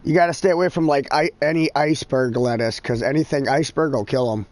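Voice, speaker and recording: A man's voice: medium pitch, quick pace, darkish tone. A man in his thirties chatting casually while streaming a game, rambling, profane and exasperated. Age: 30s. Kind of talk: casual chat.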